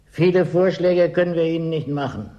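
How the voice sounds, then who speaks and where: An actor in a German radio play as an evasive, pedantic official who stubbornly denies danger. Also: male voice, on the high side, fairly quick, lo-fi sound, lightly acted. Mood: officious, matter-of-fact. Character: evasive male settlement bureaucrat, stubbornly denying the danger.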